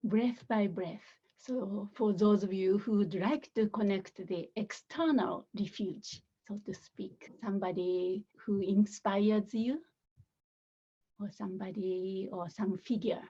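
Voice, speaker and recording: A woman meditation teacher leading a guided meditation softly and gently, with many pauses and a breathy voice that often nears a whisper. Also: medium pitch, muffled recording.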